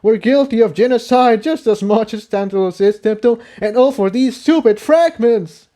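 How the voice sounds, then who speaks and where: A man's voice: extremely high pitch, normal speed, dark tone, voice pushed up. A man voicing a bitter, frightened young male pony exile who speaks in an agitated, accusing way, in a story reading.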